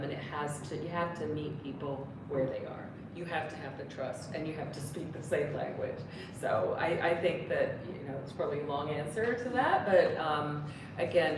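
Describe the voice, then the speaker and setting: Earnest woman clinician in an echoey room. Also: low voice. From Canada. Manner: earnest, explanatory, many rhetorical questions. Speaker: clinician on a policy panel. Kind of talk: panel discussion answer. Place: briefing room. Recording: echoey room, distant mic, background noise.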